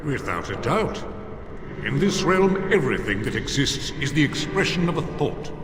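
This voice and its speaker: A man's voice: mid-range voice, big pitch swings, moderate pace. A man playing a proud, stern warrior spirit in a fantasy video game, speaking formally and haughtily with an otherworldly reverb. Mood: proud, lecturing. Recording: echoing reverb with loud background noise.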